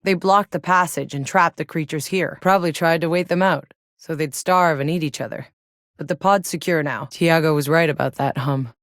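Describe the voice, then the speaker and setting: Woman acting a tough, low-voiced sardonic soldier. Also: moderate pace, dry recording, studio quality, close to an everyday voice. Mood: matter-of-fact, focused. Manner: wry, blunt, swearing. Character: tough, sardonic battle-hardened female soldier in sci-fi game. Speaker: video game protagonist. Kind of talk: video game voice lines.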